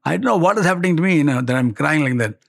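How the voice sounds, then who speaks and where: A man's voice: a little high, rapid-fire, creaky. An older man in his seventies with a Malaysian accent, telling personal stories conversationally, acting out dialogue and checking in with the listener. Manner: animated retelling with quoted dialogue and tag questions. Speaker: devotee giving a spiritual testimony. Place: indoor studio set, seated before wood-panelled wall.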